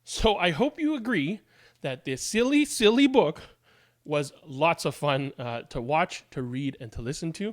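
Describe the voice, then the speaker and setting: High-pitched man reading aloud, very expressive. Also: dry recording, clean studio sound. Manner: playful, expressive, dramatic pauses and emphasis. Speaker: read-aloud storybook reader. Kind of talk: theatrical children's picture book read-aloud.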